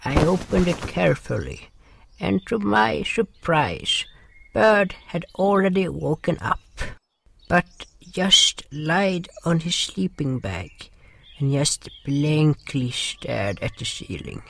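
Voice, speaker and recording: Man acting a slow, creaky, sorrowful storyteller. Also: a little high, expressive, a bit bright, slight background noise, heavily acted. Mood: solemn. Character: gentle, sorrowful non-human narrator River, caring companion. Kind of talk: first-person audio drama narration.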